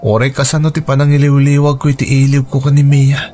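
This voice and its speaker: A man's voice: mid-range voice, completely flat delivery, quick pace, mellow, lightly acted. A man with an Ilocano accent playing a lovesick, hardworking young farmer who broods anxiously, in a radio drama. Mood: wistful, brooding. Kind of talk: Filipino radio drama acting. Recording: music under the voice.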